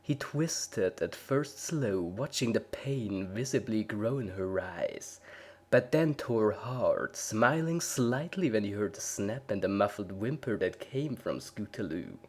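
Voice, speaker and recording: A man reads scripted story narration in a fairly low voice. It is a dramatic reading, told by a single narrator. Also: lively intonation, slow, a little mellow, breathy edge. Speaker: story reader.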